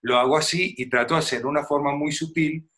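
Un hombre venezolano con acento venezolano, actor invitado en una charla de podcast, que explica con detalle y de forma animada. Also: male voice, a little high, fairly even intonation, fast, a bit bright. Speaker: actor guest. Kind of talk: casual podcast interview.

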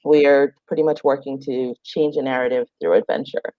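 A woman's voice: very deep voice, fairly even intonation, fairly quick, no room sound. A woman from Jamaica, chatting relaxedly in an interview with frequent fillers. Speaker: guest, outdoor group founder. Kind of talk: casual conversational interview answers. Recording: dry, slightly dull remote audio.

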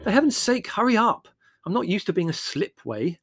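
A man's voice: medium pitch, animated, fairly quick, breathy edge, faint music behind. A man voicing an irritable, sarcastic and patronising grown brother, peevish and unctuous, in a story reading. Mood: irritated.

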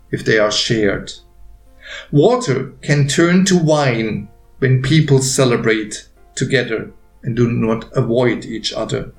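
A man reading his own story aloud as a narrator, with gentle, deliberate phrasing and pauses. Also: mid-range voice, creaky. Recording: roomy echo with faint music behind.

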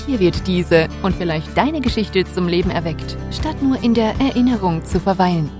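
A woman announces a podcast intro from a script, her pitch bouncing in an animated way. Her upbeat delivery sits over a music bed that's clearly audible. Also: moderate pace.

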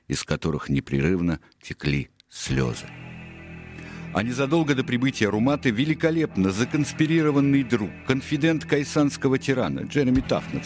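A man narrating a radio play in a grave, deliberate, literary storytelling manner. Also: low-pitched, darkish tone.

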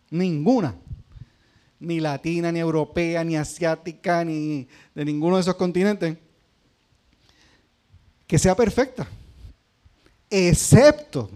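High-voiced Puerto Rican pastor preaching. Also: male voice, mellow. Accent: Puerto Rican Spanish. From Puerto Rico. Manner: conversational preaching, asks the congregation questions. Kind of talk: sermon.

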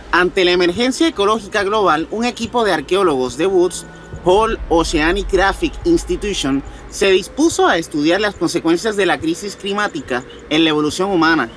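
A man's voice: sits high, fairly bright tone, a little hiss or hum. A man with a Puerto Rican accent, presenting and reading news as a podcast co-host. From Puerto Rico.

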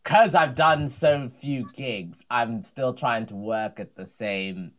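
A man's voice: medium pitch, takes it slowly, fairly bright tone. Laid-back man with a mid-range voice. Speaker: comedian and podcast co-host. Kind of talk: casual podcast chat.

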